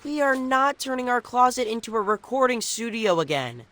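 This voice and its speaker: A man playing an earnest, nerdy teenage mutant turtle in an animated game, eager and matter-of-fact. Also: very high-pitched, moderate pace, full character voice. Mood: dry, advising.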